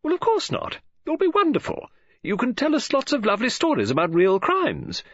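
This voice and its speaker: A man performs a bubbly middle-aged woman writer who coaxes and fusses. The voice sits very high, husky and lively. The audiobook recording sounds a little dull. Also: normal speed, no room sound, heavily acted. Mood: coaxing. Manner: gushing, coaxing, high breathy falsetto. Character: chatty excitable middle-aged female novelist.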